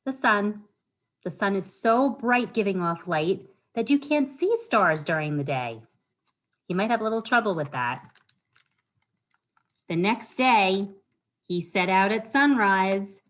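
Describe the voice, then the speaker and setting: A woman hosting a storytime for children, reading aloud and chatting in simple words, often putting questions to her young listeners. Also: fairly low pitch. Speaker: library storytime host for children.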